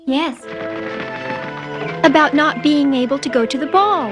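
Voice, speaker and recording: Tiny chatty cartoon critter girl, high voice, indignant n caring, music under it. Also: measured pace. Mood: sorry, indignant. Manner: chatty, opinionated, sympathetic. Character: loyal, indignant little female animal friend. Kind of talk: animated fairy-tale dub acting.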